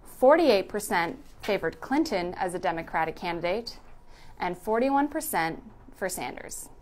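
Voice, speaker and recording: A woman's voice: on the low side, animated, a little slow. A woman reading a scripted narration in the style of a news recap.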